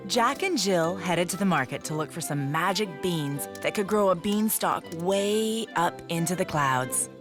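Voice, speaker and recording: A woman's voice: fairly low pitch, lively intonation, unhurried, hardly any pauses. A woman giving scripted narration for a children's storybook cartoon. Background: music.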